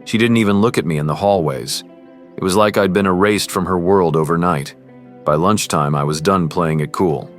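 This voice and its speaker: A man's voice: deep voice, moderate pace, close to an everyday voice. A man playing an earnest, privileged teenage boy who confides and narrates in an amateur romance drama. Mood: troubled. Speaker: character and first-person narrator. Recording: music behind the voice.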